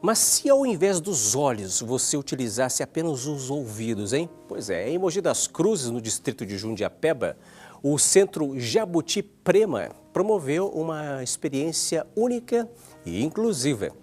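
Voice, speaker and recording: A man's voice: fairly high pitch, very animated, measured pace, darkish tone, soft background music. A Brazilian man presenting a scripted news introduction, addressing the audience directly.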